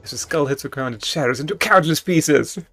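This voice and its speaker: A man's voice: on the high side, brisk, fairly bright tone, faint music behind. A man playing a video game, reading its text aloud dramatically with stumbles and casual commentary. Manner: theatrical, stumbles over written text. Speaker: video game player and streamer.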